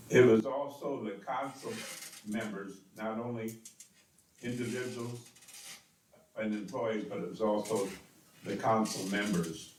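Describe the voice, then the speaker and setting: A man's voice: lowish voice, a little hiss or hum. Man, mayor, strong carrying voice, insistent, echoey chamber, distant mic. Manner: insistent, repeats words, defends himself. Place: city council chamber.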